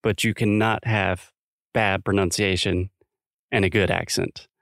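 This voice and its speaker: Man, from South Carolina, podcast co-host, lowish voice, dry close sound, thoughtful explainer. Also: fairly even intonation, unhurried, vocal fry, fairly bright tone, dry recording. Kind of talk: casual educational podcast chat.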